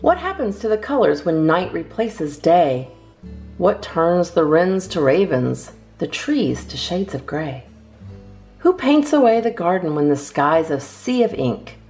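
A woman's voice: on the low side, sing-song, a little slow, darkish tone, soft background music. A woman hosting a storytime, reading children's poems aloud expressively with theatrical emphasis. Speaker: storytime host reading a picture book.

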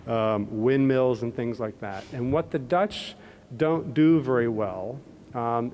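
A man's voice: medium pitch, darkish tone. A middle-aged man with a Pittsburgh accent, speaking conversationally into a podium microphone to an audience in a large hall, with frequent fillers and restarts. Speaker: embassy economist and panel moderator. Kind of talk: public talk at a podium. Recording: long hall reverb.

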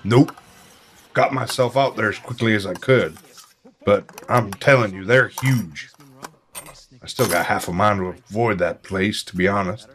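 Man playing a low-voiced, folksy old man. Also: a little slow, fairly bright tone. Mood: uneasy, candid. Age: older. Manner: folksy, plainspoken, earnest. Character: proud, rattled old small-town man, plainspoken eyewitness. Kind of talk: audio drama dialogue.

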